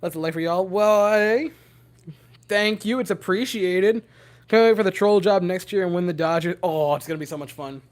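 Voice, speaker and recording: A young man with a hoarse, worn-out voice, rambling giddily to his livestream chat from home. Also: high voice, moderate pace. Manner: giddy, rambling, talking to chat. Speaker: streamer.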